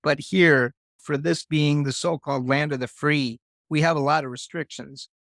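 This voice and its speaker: A man in his fifties, born in Germany, talking casually as an interview guest with a relaxed, reflective manner. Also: fairly high pitch, normal speed, gravelly, no echo, clean studio sound. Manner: relaxed, reflective, with restarts and fillers.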